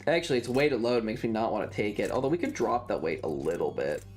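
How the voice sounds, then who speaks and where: A woman's voice: rumbling low, big pitch swings, fast, a little mellow, soft background music. A woman giving casual gameplay commentary as a streamer, offhand and thinking aloud. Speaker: game streamer.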